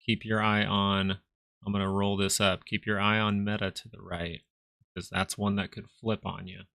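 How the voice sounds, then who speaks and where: A man with a gravelly, bright and forward voice talks a livestream audience through stock charts. His pitch sits low and barely moves, and he keeps a loose, chatty tone at a desk mic. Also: level tone, normal speed, no room sound, studio quality. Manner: casual, chart-pointing, conversational asides to viewers. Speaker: stock market livestream host. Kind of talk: casual live market commentary.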